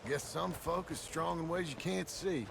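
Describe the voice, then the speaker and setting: An actor playing a weary, brooding ex-outlaw cowboy in a video game, terse and plain-spoken, with an American accent. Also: male voice, on the high side, normal speed, gravelly, noisy background. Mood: bitter. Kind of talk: dramatic video game dialogue.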